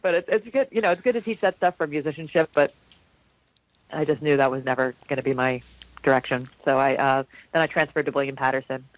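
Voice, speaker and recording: A man in his forties from South Florida, a jazz singer with a low, slightly husky voice, telling rambling stories in a casual interview with frequent restarts. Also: fast talker, rough recording. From South Florida, USA. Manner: rambling, warm anecdotes with frequent restarts and laughs.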